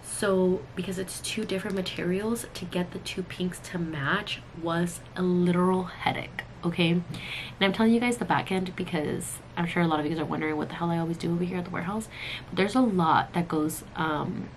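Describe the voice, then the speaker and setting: A woman's voice: fairly low pitch, fairly quick, low-quality recording. A woman in her thirties talking casually to the camera as a vlogger, chatty and addressing her viewers directly. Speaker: vlogger and cosmetics brand owner. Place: small indoor room at work. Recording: clear room noise, camera audio.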